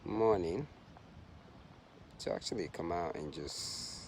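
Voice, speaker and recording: A man vlogging outdoors on a park trail while he jogs, musing softly with many pauses. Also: sits low. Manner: soft-spoken, musing, halting with pauses. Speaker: vlogger and long-distance runner. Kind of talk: casual reflective vlog while out jogging. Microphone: handheld camera, self-filmed. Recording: outdoor recording with noticeable background noise.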